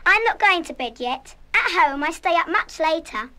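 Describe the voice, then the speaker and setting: A woman's voice: very high voice, animated, moderate pace, sharp tone, full character voice. An actress playing a naughty, defiant, spoiled girl of about eleven in a children's radio play, petulant and emphatic. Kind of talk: full-cast children's radio play acting.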